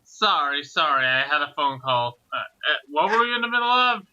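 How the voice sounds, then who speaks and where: A man's voice: a little high, big pitch swings, moderate pace, bright, forceful tone, muffled recording. A man chatting casually as a co-commentator. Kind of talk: casual chat.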